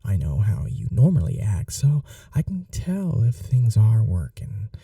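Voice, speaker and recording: A man's voice: fairly low pitch, wildly swooping pitch, a little slow, warm tone, dry recording, clean studio sound, far from the mic, exaggerated voice. A young man playing a smug, chatty mad scientist college roommate in an intimate ASMR audio roleplay, coaxing and condescending in a casual way. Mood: coaxing, eager.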